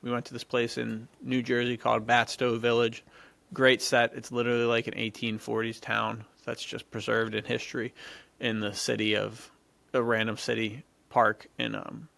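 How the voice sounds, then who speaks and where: A man's voice: medium pitch, monotone, moderate pace, vocal fry, a bit bright, dry recording. A young man co-hosting a podcast, telling stories casually with slangy, enthusiastic talk full of "dude". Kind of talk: casual chat, storytelling.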